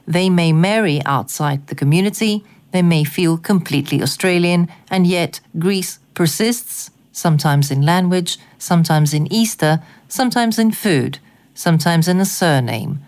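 A woman interviewer speaking in a measured, articulate and reflective way, as if from a prepared script. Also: lowish voice, lively intonation, a little mellow. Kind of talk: scripted, formal interview narration.

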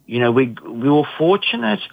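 A man's voice: mid-range voice, sing-song, normal speed, vocal fry, slightly rough, narrow, tinny audio. A middle-aged man from Australia, an artist giving an interview, speaking reflectively with many ums and restarts. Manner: hesitant, many fillers and restarts.

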